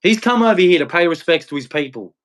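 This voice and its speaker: A man's voice: a little high, lively intonation, fast talker. An Australian man streaming a blunt, confrontational rant to his chat, ending with a preachy religious sign-off. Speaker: live streamer. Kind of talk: ranting monologue to chat.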